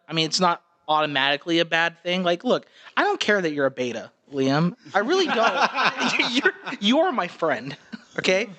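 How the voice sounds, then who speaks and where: A man's voice: sits high, sharp tone. A man co-hosting a comedy podcast, with a nasal voice, rambling in casual chat with lots of restarts. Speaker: podcast co-host.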